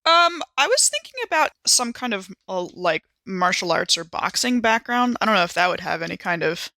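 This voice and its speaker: Bright-voiced woman playing a tabletop game. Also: medium pitch, very animated, moderate pace, no echo. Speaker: player in a tabletop roleplaying game. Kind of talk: casual game-table chat.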